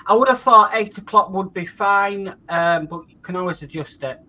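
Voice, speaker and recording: A man hosts a relaxed online hobby stream. His voice sits high and sounds bright. He reads viewer comments aloud and runs down the schedule over a call. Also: normal speed, poor audio. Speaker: host. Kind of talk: casual chat on a live stream.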